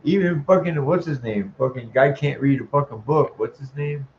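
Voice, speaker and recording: A man's voice: medium pitch, a little hiss or hum. Profane ranting man, dull lo-fi sound. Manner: tags sentences with "man", chuckles, stalls on drawn-out "uh". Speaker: vlogger.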